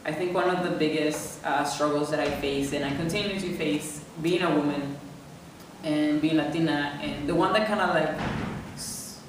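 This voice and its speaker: A young woman from Venezuela, an interviewee reflecting thoughtfully on her identity and often pausing with fillers. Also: low-pitched, fairly even intonation, background noise. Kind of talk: personal reflection. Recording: echoey room from a distance.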